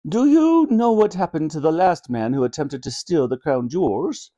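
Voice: mid-range voice, expressive, warm tone, lo-fi sound, close to an everyday voice. A reader putting on a clipped, regal old Queen. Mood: wryly amused. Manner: clipped, formal, measured. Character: stately elderly Queen, clipped, regal, gently amused.

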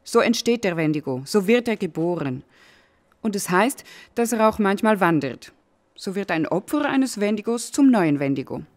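An actress playing a woman who gravely tells a dark legend in a radio play. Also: mid-range voice, animated, normal speed, close to an everyday voice. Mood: ominous. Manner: grave, storytelling. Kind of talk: radio drama acting.

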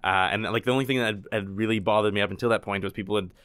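A man's voice: on the low side, fairly even intonation, rapid-fire, a bit bright, dry recording. Chatty man co-hosting a podcast. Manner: chatty, loose phrasing with many fillers. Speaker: podcast co-host. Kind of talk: casual conversation.

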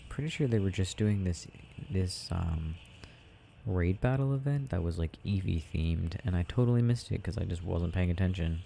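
A young man playing an affectionate, gently teasing boyfriend in an ASMR audio roleplay, murmuring and rambling as he games. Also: low voice, normal speed, gravelly, a little hiss or hum. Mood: absorbed, rambling.

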